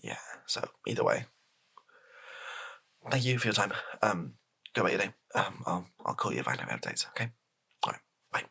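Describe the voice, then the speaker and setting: A British man improvising a softly spoken comedy roleplay as a hesitant, deadpan, rambling political advisor to the prime minister. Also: sits low, moderate pace, vocal fry, long pauses, breathy edge, full character voice. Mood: resigned, awkward.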